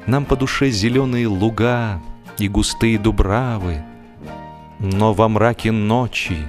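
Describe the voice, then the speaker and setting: A man performing a genial, wry gentleman who tells a humorous story in a measured, theatrical, expressive way. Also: on the low side. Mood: solemn, wistful. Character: genial, wry, musing gentleman narrator of a comic tale. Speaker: audiobook narrator and actor. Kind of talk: dramatized first-person humorous story narration. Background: music.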